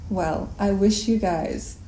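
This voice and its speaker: Echoey, mellow-voiced woman hosting an interview. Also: mid-range voice, takes it slowly. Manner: effusive, encouraging. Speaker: podcast host. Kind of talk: warm conversational interview. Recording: echoey room.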